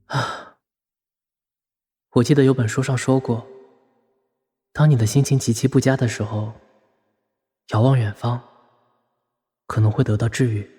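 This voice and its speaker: A man's voice: mid-range voice, fairly even intonation, unhurried, pauses often, mellow, breathy edge, dry recording, clean studio sound. A man playing a dejected, lovesick young college student in an audio drama, hesitant and wounded. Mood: gloomy, musing. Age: young adult.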